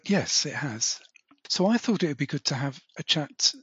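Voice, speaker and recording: A man hosting a podcast interview who asks relaxed follow-up questions and sums up what he hears. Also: a little high, normal speed, breathy edge, dry recording, dull audio. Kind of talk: casual conversational interview.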